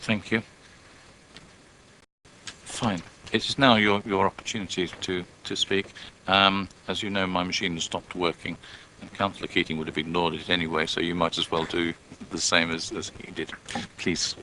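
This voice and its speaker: A man's voice: low voice, level tone, moderate pace, sharp tone. A man chairing a council meeting with informal remarks as he hands over to the next speaker, in a noisy meeting room.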